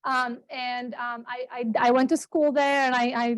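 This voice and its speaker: A woman's voice: high voice, flat delivery, moderate pace, no echo. Chatty Romanian-born woman lecturing, dry sound. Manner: chatty, self-correcting, with many ums and repeats. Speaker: guest speaker, geography professor. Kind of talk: public lecture. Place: meeting room, also streamed on Zoom. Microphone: standing microphone, not held.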